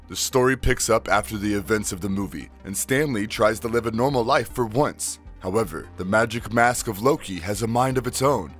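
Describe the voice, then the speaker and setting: Mid-pitched man narrating over music. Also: fairly bright tone. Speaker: narrator of cartoon recap videos. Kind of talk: scripted recap narration.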